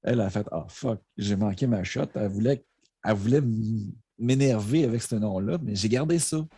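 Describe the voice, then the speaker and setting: A man's voice: lowish voice, normal speed, warm tone, husky, no echo. A man with a Quebec French accent, a guest DJ telling stories in a casual livestream chat at his home streaming setup. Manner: laid-back rambling storyteller.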